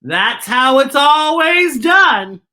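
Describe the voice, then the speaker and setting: An officious little cricket from a children's storybook, played by a woman reader, announcing the rules with great emphasis. The voice is bright, forceful and raised, with animated swoops. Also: sits high, drawn-out words, pitched above the performer's own voice, full character voice. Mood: insistent. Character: officious cricket who knows how weddings go. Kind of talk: animated picture-book read-aloud.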